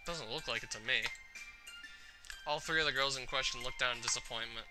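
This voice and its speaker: A man's voice: medium pitch, fairly quick, music in the background. A man hosting a gaming video, commenting on a visual novel and reading its text aloud.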